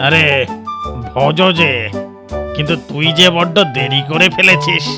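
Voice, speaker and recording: A man voicing a smug older male farmer in an animated storybook, gloating and chuckling. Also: fairly high pitch, measured pace. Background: background music with sitar.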